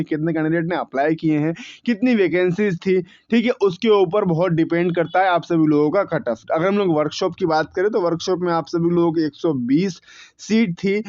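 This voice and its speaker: A man's voice: on the high side, a little mellow, no echo, highs cut off. A young man hosting an exam coaching channel, explaining results casually in a conversational tone, with frequent restarts and repeated numbers. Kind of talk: informal exam analysis talk to viewers.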